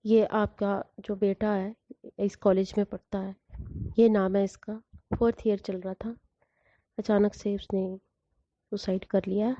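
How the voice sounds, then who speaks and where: A woman's voice: medium pitch, level tone, measured pace, pauses often, no room sound, natural voice. A woman voicing a somber male college official delivering hard news in a grave, matter-of-fact way, in a slightly dull, lo-fi recording.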